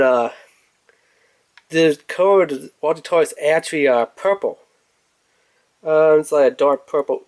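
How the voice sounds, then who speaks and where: A man's voice: medium pitch, a little mellow, no echo. A man giving casual, off-the-cuff commentary as he reviews a toy in an unboxing video. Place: indoors at a table.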